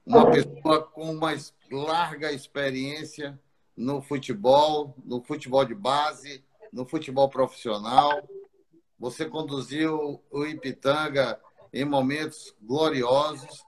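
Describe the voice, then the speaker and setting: A man hosting a live online interview, speaking slowly and haltingly, repeating words as he builds his questions. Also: medium pitch, poor audio. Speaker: interview host, football schools federation.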